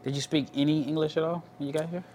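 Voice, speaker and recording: A man's voice: fairly high pitch, fairly even intonation, quick pace, darkish tone, a little hiss or hum. A man interviewing a basketball prospect, asking curious questions in a loose, casual way and often saying "like". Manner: curious, loose phrasing with many "like"s.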